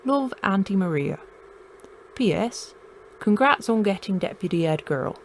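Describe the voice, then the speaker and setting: Audio drama aunt: fond, proud, very animated, slight noise behind. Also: female voice, medium pitch, measured pace. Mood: affectionate, proud. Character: fond, proud aunt reading her birthday card.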